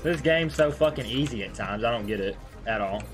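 A young man streams a video game in a medium-pitched voice. His commentary is casual, slangy and full of swearing. Game music plays clearly behind him. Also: animated, a little fast. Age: young adult. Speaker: gaming streamer. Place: indoors at a computer.

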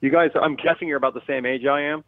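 A man in his late forties, a guest caller in a casual chat, talkative and reflective with halting restarts. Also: on the high side, steady tone, phone-quality sound. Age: 40s.

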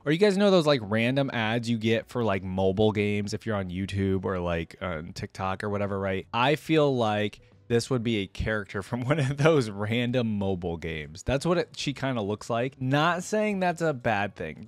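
A man's voice: mid-range voice, no echo. A young adult man giving chatty, slangy reaction commentary into a close desk microphone. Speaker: reaction video host. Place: indoor streaming setup. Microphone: close desk microphone with headset on.

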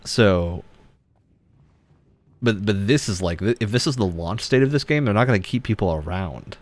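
A man's voice: lowish voice, animated, moderate pace. A man chatting casually while streaming a game, sounding critical and bored. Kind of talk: casual gaming commentary.

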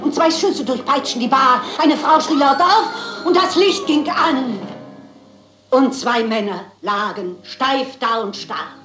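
A roomy sound surrounds an elderly spinster sleuth. She is genteel, unflappable and a touch theatrical, with a highish voice, in the manner of a crime radio play. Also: takes it slowly, over music. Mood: dramatic reciting. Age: older.

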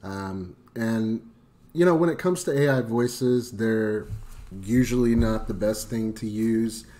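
A man hosting a casual screen-recorded software tutorial, talking loosely with lots of fillers and enthusiastic asides. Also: on the low side, slow pace. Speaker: tutorial host.

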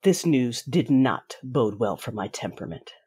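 A woman playing a fierce, grieving warrior mother who narrates her own story in a measured, dramatic audio drama style. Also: rumbling low, very animated, darkish tone, breathy edge, exaggerated voice. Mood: solemn, controlled. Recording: voice over a music bed.

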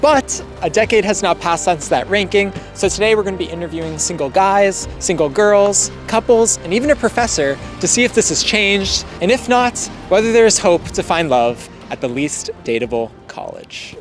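A man's voice: high-pitched, expressive, normal speed, hardly any pauses, faint music behind. Upbeat young male campus interviewer. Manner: upbeat, laughs often. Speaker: host. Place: outdoors on a college campus. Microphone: handheld mic.

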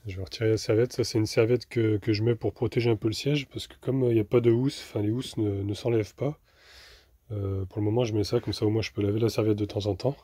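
A man's voice: lowish voice, steady tone, fast, warm tone, no echo. A man vlogging casually inside a camper van, explaining a repair step by step with many restarts. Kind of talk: casual vlog narration.

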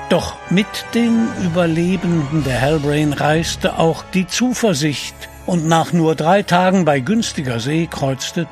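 A man narrates a dramatic adventure story. His slightly high voice moves slowly and solemnly, with faint music underneath.

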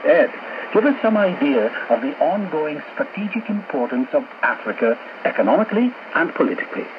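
Tinny, noisy shortwave radio, animated male presenter. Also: on the high side, brisk. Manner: formal, addresses colleagues and listeners directly. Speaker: radio show presenter and executive producer. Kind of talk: scripted radio programme presenting. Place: radio studio, heard over a shortwave receiver. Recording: tinny, static-laden shortwave radio broadcast.

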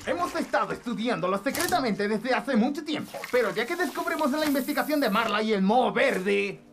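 A woman's voice: fairly high pitch, expressive, brisk, background noise. A woman voicing a vengeful, scheming octopus villain in a cartoon dub, menacing and theatrical. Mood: gloating. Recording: music under the voice.

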